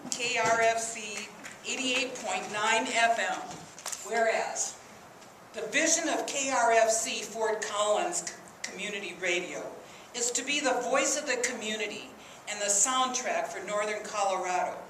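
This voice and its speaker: A woman speaking as a mayor, reading a formal proclamation in a measured, ceremonial way. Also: mid-range voice, fairly bright tone. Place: indoor council chambers. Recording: echoey council chamber, faint background noise.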